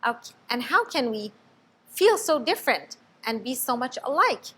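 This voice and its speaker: A woman voicing an excitable young bird in a children's storybook reading. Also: fairly high pitch, moderate pace, breathy edge, voice pushed up, exaggerated voice. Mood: excited.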